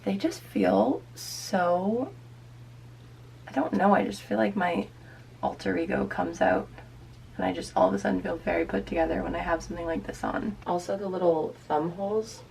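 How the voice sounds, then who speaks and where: A young woman with a raspy voice, rambling casually and self-deprecatingly to the camera as a lifestyle vlogger. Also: lowish voice, normal speed, a little mellow, a little hiss or hum, low-quality recording.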